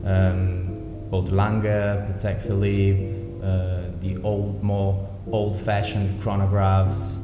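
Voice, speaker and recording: A man talks about his path as an interview guest, thoughtful and unhurried, pausing on ums. His voice sits low and stays fairly level. He is heard in a reverberant office with music playing beneath. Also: monotone. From Denmark. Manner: measured, thoughtful, many ums. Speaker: watch brand founder, guest. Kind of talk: relaxed interview conversation. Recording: echoey office with music bed.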